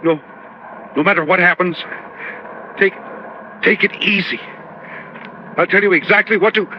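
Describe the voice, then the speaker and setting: A man's voice: high-pitched, a little hiss or hum, lightly acted. A man playing a hearty, folksy cargo pilot struggling with pain in a 1950s radio drama, on a dull old recording. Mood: agonized, urgent. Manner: folksy, halting, gasping.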